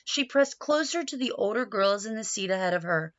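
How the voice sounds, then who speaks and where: A woman reading a picture book to young children like a teacher, pausing to explain and put questions to her listeners. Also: medium pitch, animated, dull audio. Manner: instructive, questioning, addresses young listeners directly.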